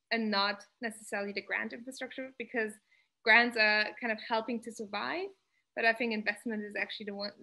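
Brief: woman in her thirties, bright clear tone, measured panel speaker on a laptop mic. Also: medium pitch, poor audio. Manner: earnest, measured, many "um" pauses. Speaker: startup founder, panel guest. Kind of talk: advice talk on a video call panel. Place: indoor home room. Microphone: laptop webcam on video call.

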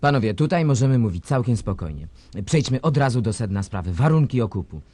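A man's voice: medium pitch, sing-song, quick pace, darkish tone, no echo. An actor playing a cynical, scheming male government minister in a radio drama, sardonic and chuckling as he plots. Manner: sly, sardonic, chuckles while plotting.

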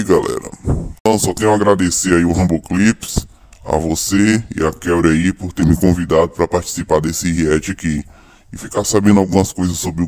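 A man voicing a proud, boastful monster king who taunts his rival in a fan-made animated reaction video. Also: low-pitched, dry recording, studio quality, close to an everyday voice. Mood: casual. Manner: taunting, indignant, addresses rival directly.

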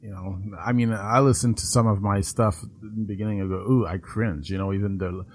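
A man's voice: fairly even intonation, a little fast, a little hiss or hum. A man with a French Canadian accent, hosting a podcast interview in a friendly, casual way with lots of fillers. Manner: friendly, many fillers, swooping pitch. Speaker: podcast host.

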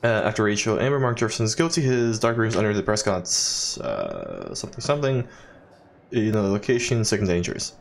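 A man commentating casually on a video game as he plays. He jokes, swears and mutters asides, with his pitch moving around a lot. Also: sing-song, brisk, gravelly. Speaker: let's play commentator.

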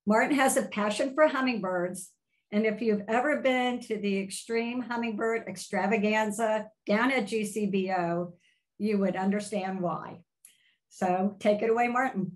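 An older woman hosting a video call, reading out a measured introduction of a guest speaker. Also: mid-range voice, low-quality recording. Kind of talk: read-out introduction.

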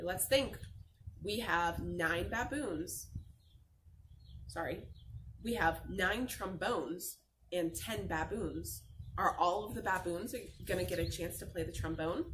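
A young adult woman reading a picture book aloud to children as a teacher, expressively, asking questions and answering them. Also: mid-range voice. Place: outdoor patio by a garden. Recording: noisy outdoor camera recording.

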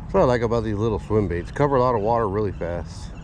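A man giving casual running commentary while fishing at a park pond, talking to an action camera and getting excited over his catches. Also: lowish voice, fast. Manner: easygoing, talks to himself and the camera, excited by catches. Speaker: fishing vlogger. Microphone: chest- or head-mounted action camera. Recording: faint outdoor noise.

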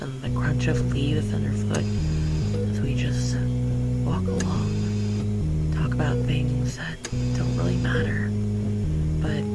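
A low, soft female voice, acted by a woman for an audio drama, breathy and hesitant. She is a wistful, self-blaming soul who lingers slowly over her words. Loud music plays underneath. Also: poor audio. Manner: hesitant, slow, reflective. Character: wistful, self-blaming female colour being named Cyan.

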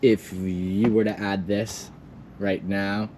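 A man's voice: on the low side, little pitch movement, slow pace, background noise. A man, an HVAC technician, chatting on a job site and asking questions hesitantly. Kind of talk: casual chat.

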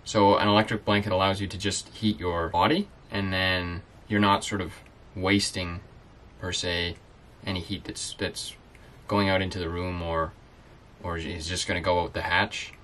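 A man's voice: sits low, little pitch movement, normal speed, fairly bright tone, a little hiss or hum. A young man who lives on a sailboat, chatting casually and explaining things easily with plenty of fillers, inside a small boat cabin. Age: young adult. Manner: easygoing, explanatory, many fillers. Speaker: sailboat-living vlogger. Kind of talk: casual chat.

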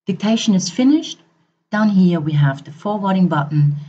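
A woman narrating a product demonstration step by step in a halting way, as a voice-over. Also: mid-range voice, animated, mellow, breathy edge. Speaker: software demo presenter. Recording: dull, slightly muffled voice-over.

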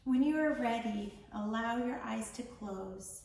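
A woman leading a guided meditation in a soothing, gentle, measured manner with long pauses. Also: fairly high pitch, vocal fry. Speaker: meditation guide, founder of a yoga charity. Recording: echoey room, distant mic, faint noise.

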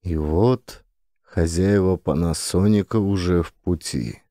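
Deep-voiced man narrating a story. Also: slow, dark tone. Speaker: audiobook narrator, actor. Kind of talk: scripted satirical story narration.

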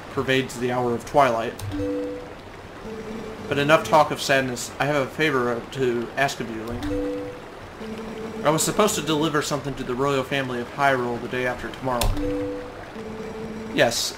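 A man voicing a calm grown village man, reading haltingly with a put-on southern-style drawl over game music. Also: mid-range voice, lightly acted, poor audio.

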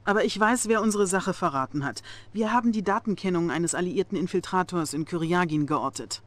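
A woman's voice: fairly low pitch, a little fast, natural voice. An actress playing a young female Soviet officer in a game cutscene, controlled and authoritative. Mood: controlled, commanding. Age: young adult. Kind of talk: video game cutscene acting.

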